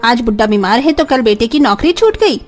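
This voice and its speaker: A woman puts on a stingy neighbour woman from a cartoon tale. She grumbles harshly and threatens in a fairly high voice, over music. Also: expressive, fairly quick, natural voice. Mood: scornful.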